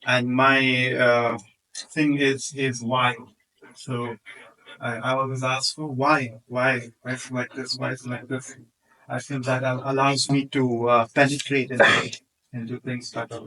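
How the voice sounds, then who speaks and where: A man's voice: medium pitch, level tone, a little slow, bright, forceful tone, distant mic, rough recording. A man introducing himself at a workshop in a hesitant, inquisitive way. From Goa, India.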